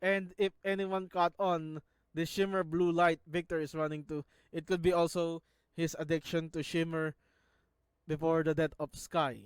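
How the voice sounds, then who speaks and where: A man's voice: on the high side, little pitch movement, moderate pace, smooth, no room sound. A man from the Philippines with a Filipino accent, talking casually in a video as he analyzes scenes, often stopping to restart words. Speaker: YouTuber analyzing a show.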